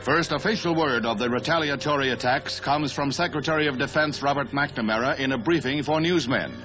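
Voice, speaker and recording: A man's voice: medium pitch, brisk, low-quality recording. A man with an American accent reading scripted narration in a formal, declamatory 1960s newsreel announcer style. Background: music.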